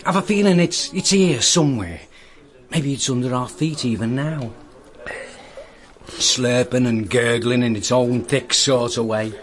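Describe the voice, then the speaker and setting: An actor playing a hapless, chatty, deferential sidekick in a radio drama, rambling and jokey. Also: male voice, mid-range voice, measured pace, natural voice. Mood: musing, nosy.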